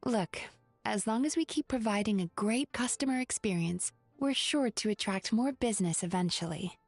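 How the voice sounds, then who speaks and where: A composed young woman therapist from an anime game, as an actress plays her. Her medium-pitched voice is measured, caring and articulate with a wry edge, recorded dry over faint music. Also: no room sound, natural voice. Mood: reassuring. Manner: measured, articulate, gently reassuring. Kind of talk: English anime-style game voice acting.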